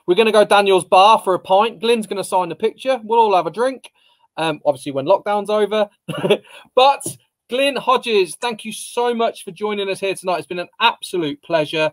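Chatty guy hosting a zoom chat, fairly high voice, agrees a lot. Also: normal speed. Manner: chatty, agreeable, leading questions. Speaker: interview host. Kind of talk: casual video-call interview. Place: indoor room with banner behind. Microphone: video call webcam.

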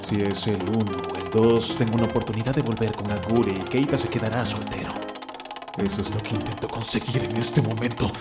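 A man's voice: on the low side, steady tone, a little fast, close to an everyday voice. A man voicing an exasperated teenage schoolboy in an anime fan dub, dramatic and sarcastic. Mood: brooding. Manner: dramatic, sarcastic, prone to outbursts. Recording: music playing behind the voice.

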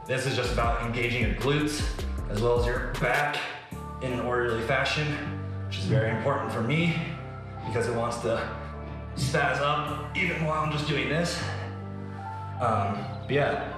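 A young man who races skis talks through exercises as he demonstrates them. His medium-pitched voice sits back from the mic in a very echoey gym, with music playing behind. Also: fairly even intonation, moderate pace. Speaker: ski racer. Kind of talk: explaining exercises while demonstrating. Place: small home gym indoors.

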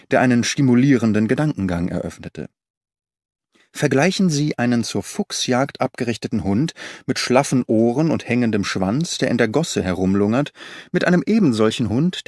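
A man reading scripted literary narration for an audiobook in a measured, precise way. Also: medium pitch, a little mellow, dry recording, studio quality.

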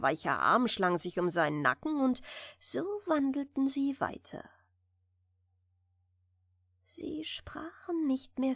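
A woman narrates a bedtime fairy tale in a gentle, soothing way. Her pitch moves expressively while the pace stays very unhurried, sometimes sinking toward a whisper. The recording is close and dry. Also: no room sound. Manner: gentle, soothing, dropping at times to a breathy near-whisper. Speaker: audiobook narrator.

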